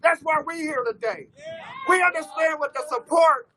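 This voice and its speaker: A man from Oakland giving an impassioned rally speech, hoarse and losing his voice, leading call-and-response chants. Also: extremely high pitch, moderate pace, sharp tone, a little hiss or hum, low-quality recording. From Oakland, California. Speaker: rally speaker for families of police violence victims.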